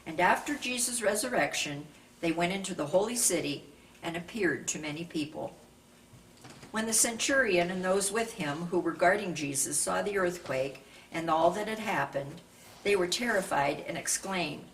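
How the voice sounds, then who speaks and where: A woman's voice: lowish voice, expressive. Distant-sounding woman reading scripture aloud. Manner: measured, raises voice for quoted speech. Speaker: scripture reader. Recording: distant microphone with faint background noise.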